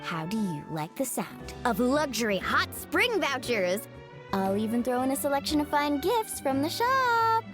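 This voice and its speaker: A woman's voice: high-pitched, sing-song, normal speed. A woman voicing an upbeat, confident and competitive young woman in a video game, with a peppy, persuasive manner. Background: music.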